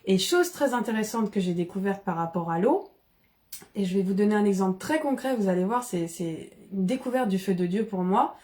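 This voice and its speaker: A woman from the Paris region, explaining ideas in casual, animated conversation with frequent restarts. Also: medium pitch, a little fast. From Paris region, France. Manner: animated, many restarts and fillers.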